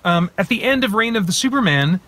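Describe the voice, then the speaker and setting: A man in his thirties with a slightly high voice sits in his parked car and talks into a phone held at arm's length. He rambles as he thinks aloud, often pausing and restarting. The sound is close and plain, as in a small car cabin. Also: expressive, a bit bright. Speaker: movie reviewer and channel host. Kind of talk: casual spoiler talk to camera. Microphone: phone camera at arm's length.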